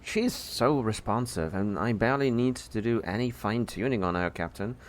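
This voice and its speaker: A man's voice: fairly low pitch, expressive, moderate pace, natural voice. A man voicing an earnest, feathered female alien starship pilot who reports eagerly and respectfully in an audio drama. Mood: pleased.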